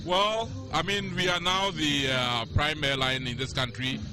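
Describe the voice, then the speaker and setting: A man's voice: fairly high pitch, fairly even intonation, measured pace. A man being interviewed at a festive event, jovial and joking between answers. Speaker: airline executive interviewee. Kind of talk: on-location interview. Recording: music playing behind at an event.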